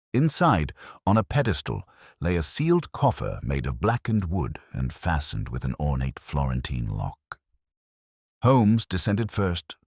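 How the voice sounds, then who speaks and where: Male audiobook narrator, extremely low register, lively intonation, dramatic literary delivery, dry close sound. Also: slightly rough, no echo.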